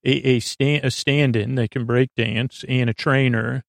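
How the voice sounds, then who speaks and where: A man with a creaky, fry-laden voice delivers a rambling bedtime monologue as a podcast host. His phrasing is halting and stammering, drifting off into tangents. The sound is dry, with no room around the voice, and his pitch sits in an ordinary male range. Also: steady tone, no room sound. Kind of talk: rambling bedtime monologue to lull listeners to sleep.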